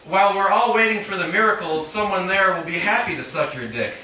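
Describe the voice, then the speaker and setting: A man's voice: high voice, lively intonation, fairly quick, sharp tone. A man reading poetry at a live reading, with a wry, sardonic manner and casual asides. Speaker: featured poet at a reading. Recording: roomy, distant mic, faint noise.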